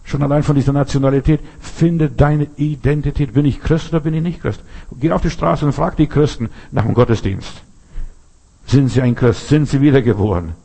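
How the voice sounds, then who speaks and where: A man's voice: mid-range voice, fairly quick, gravelly, warm tone. A man preaching a sermon, emphatic and full of rhetorical questions, often restarting his sentences.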